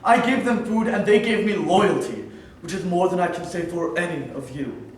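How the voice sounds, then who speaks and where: A man's voice: high voice, normal speed, natural voice. A man playing a proud, domineering cave king in a staged reading, speaking in a commanding, grandiose way. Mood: paranoid anger. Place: theater in New York. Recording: echoey hall from far off.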